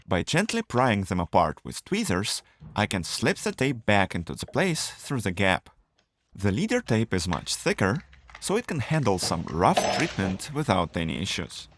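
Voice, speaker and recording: A man's voice: fairly low pitch, a bit bright, dry recording. A man narrating a scripted do-it-yourself tutorial, explaining each step methodically. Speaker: video host explaining a DIY procedure.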